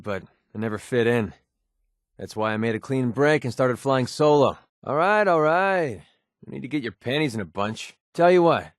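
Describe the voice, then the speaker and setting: An actor playing a cynical, wisecracking young mercenary for a video game, with an American accent and a streetwise, informal delivery. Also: male voice, medium pitch, no room sound, clean studio sound, natural voice. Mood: wary, irritated. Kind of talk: video game companion dialogue.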